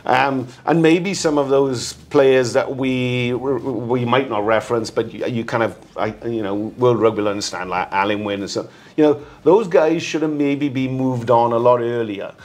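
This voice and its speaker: A man giving rugby analysis as a guest, halting and repeating words as he thinks aloud. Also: medium pitch. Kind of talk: casual rugby discussion.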